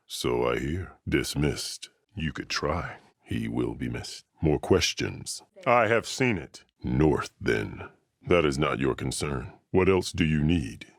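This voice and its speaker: Very deep, commanding warrior chief. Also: male voice, no room sound, studio quality, heavily acted. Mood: stern, measured, then grave, regretful. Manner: solemn, formal, authoritative. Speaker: video game character voice actor. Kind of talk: dramatic game dialogue.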